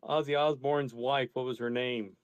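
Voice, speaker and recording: A man's voice: medium pitch, fairly even intonation, normal speed, clear, resonant tone, no echo, highs cut off. A man in an online audio chat, speaking casually and asking questions.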